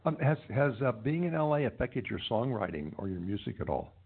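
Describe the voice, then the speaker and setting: Measured male host on a tinny line. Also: mid-range voice, big pitch swings, husky. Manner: measured, thoughtful, with hesitant ums. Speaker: interview host. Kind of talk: interview questions.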